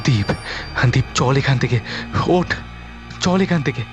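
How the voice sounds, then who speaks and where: A man's voice: mid-range voice, animated, slow pace. A man playing a frightened but resolute young man in a horror audio story, urgent and insistent, repeating himself. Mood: urgent, alarmed. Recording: voice over background music.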